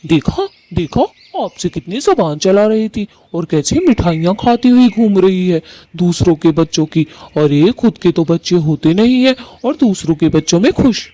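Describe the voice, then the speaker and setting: A man voicing Kalu, a harsh, bossy male bird husband in a cartoon who scolds loudly. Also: high-pitched, big pitch swings, normal speed, hardly any pauses. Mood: complaining. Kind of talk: Hindi cartoon voice acting.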